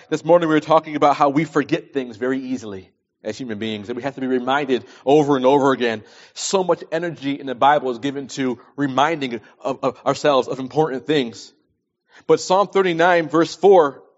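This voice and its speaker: A man in his early forties preaching a sermon, speaking emphatically and repeating phrases to stress them. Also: medium pitch. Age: early 40s. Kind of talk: sermon with scripture readings and plain advice. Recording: dull lo-fi recording.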